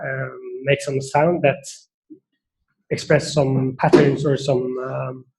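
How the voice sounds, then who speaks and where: A man's voice: medium pitch, a little mellow, poor audio. A man with a Norwegian accent giving an academic seminar talk, thoughtful and hesitant, with many fillers and restarts. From Norway. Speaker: seminar speaker.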